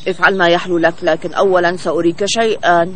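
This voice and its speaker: Earnest cartoon bird hero, male actor, put-upon and expressive, high pitch, faint noise behind. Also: rapid-fire, natural voice. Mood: confident, calm. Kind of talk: dubbed children's cartoon acting.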